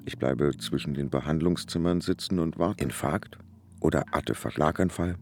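An actor playing an anxious patient narrating in the first person in a radio play, measured and brooding. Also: male voice, a bass voice, darkish tone. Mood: tense, brooding. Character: anxious male patient narrating his wait in hospital.

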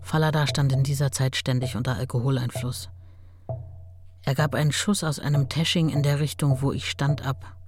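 A woman's voice: very deep voice, steady tone, normal speed. Music runs under a woman playing a worn-out ex-wife in a radio play. She recounts her married life candidly, like someone giving testimony. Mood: sober, candid. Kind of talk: radio drama, testimony-like recollection.

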